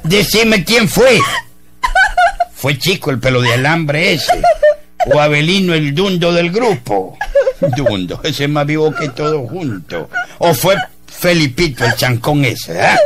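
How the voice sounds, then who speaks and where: A man's voice: on the high side, sing-song, measured pace, over loud music. An actor playing an angry village father in a radio comedy sketch, scolding and threatening. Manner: blustering, scolding, mocking.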